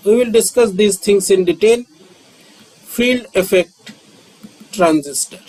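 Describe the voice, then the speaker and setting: A man, lecturing on physics and repeating key terms with frequent pauses. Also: high voice, a little mellow, breathy edge, rough recording. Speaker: physics teacher. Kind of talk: slow, repetitive classroom lecture.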